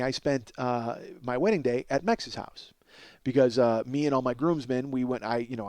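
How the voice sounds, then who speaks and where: A middle-aged man hosting a comedy podcast, telling stories in a casual, profane, self-deprecating ramble and exaggerating excitably for comic effect. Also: medium pitch, no room sound. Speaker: podcast host, comedic storyteller.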